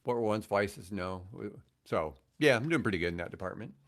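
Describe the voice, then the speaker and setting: A man's voice: on the low side, animated, fairly quick. An older American man chatting casually on a podcast as a guest, telling anecdotes in a rambling, conversational way. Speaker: podcast guest, retired radio host.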